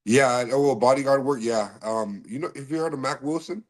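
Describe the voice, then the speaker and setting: A man from Massachusetts answering interview questions in a polite, easygoing way, often saying "yes sir" and pausing on fillers. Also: mid-range voice. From Massachusetts, USA. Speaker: podcast guest, slap fighter and bodyguard.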